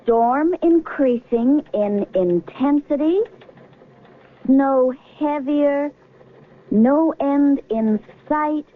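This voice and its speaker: An actress playing an unflappable, teasing airline counter clerk in a 1970s radio drama, breezy and matter-of-fact. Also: female voice, a little high. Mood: reading aloud. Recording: muffled, phone-like old radio broadcast.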